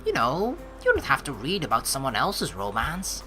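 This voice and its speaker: A woman's voice: a bass voice, wildly swooping pitch, normal speed, pushed and bright, soft background music, rough recording. A woman doing chatty let's play commentary, reading game lines aloud expressively. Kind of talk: casual gameplay commentary and script reading.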